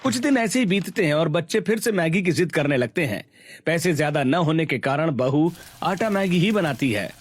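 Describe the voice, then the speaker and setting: A man's voice: a little high, lively intonation, moderate pace, no echo. A man giving scripted narration for a family story in storybook style.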